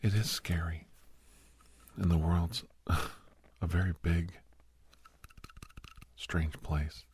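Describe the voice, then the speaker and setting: A man playing a gentle, reassuring doctor in a soft-spoken ASMR roleplay, with a husky, breathy voice and halting, hesitant delivery. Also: low-pitched, fairly even intonation, a little hiss or hum, heavily acted. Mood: gently encouraging. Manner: soothing, hesitant, halting pauses. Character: gentle, reassuring male doctor counseling a nervous young werewolf.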